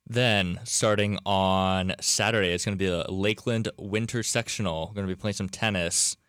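A new male co-host on a radio sports show, from New Hampshire, speaking in a relaxed way and laughing easily. His voice is lowish and recorded dry and close in the studio. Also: normal speed, dry recording. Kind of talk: casual radio sports chat.

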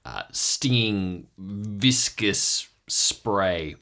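A man acting as game master, improvising descriptive scene narration and pausing as he builds up the detail. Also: lowish voice, expressive, sharp tone.

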